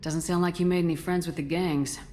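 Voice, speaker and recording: An actress playing a tough, loyal military commander in a video game, speaking firmly and directly. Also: female voice, on the low side, steady tone, brisk, darkish tone, slight background noise, close to an everyday voice. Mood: firm, probing. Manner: firm, direct. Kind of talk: video game dramatic acting.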